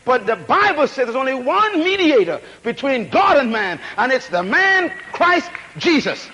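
High-voiced man preaching with emphatic repetition. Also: sing-song, unhurried, breathy edge, poor audio. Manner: call-and-response, rhetorical questions, emphatic repetition; swings between shouted emphasis and low asides. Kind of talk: sermon.

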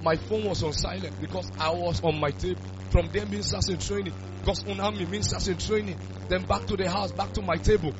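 A man, preaching a sermon with loud bursts of emphasis. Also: high-pitched, steady tone, quick pace. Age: 20s. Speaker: pastor. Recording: dull lo-fi sound with music.

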